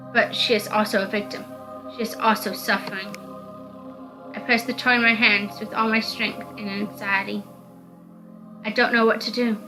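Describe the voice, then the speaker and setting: A woman's voice: mid-range voice, low-quality recording. A woman reading a visual novel aloud as narrator, in dramatic scripted narration. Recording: music under the voice.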